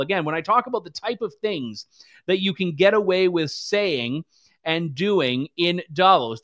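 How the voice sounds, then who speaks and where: A man's voice: sits high, fairly bright tone. A man hosting a political commentary show, talking in a sarcastic, mocking way. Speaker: host.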